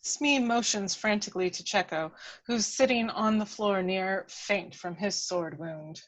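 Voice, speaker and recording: A woman's voice: mid-range voice, measured pace, fairly bright tone, poor audio. A woman reading scripted action descriptions as the narrator of a table read. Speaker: stage-direction reader.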